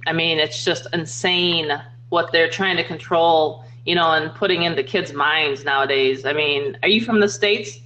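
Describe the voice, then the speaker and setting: Bright-voiced woman host, rambling. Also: low voice, normal speed, few pauses, a little hiss or hum, poor audio. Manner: rambling, opinionated, many "you know"s. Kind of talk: casual online group chat.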